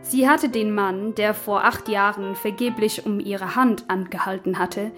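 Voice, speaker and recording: A woman's voice: mid-range voice, fairly even intonation, unhurried, a bit bright, soft background music. A woman presenting a radio play with scripted explanatory narration, informative and in short phrases.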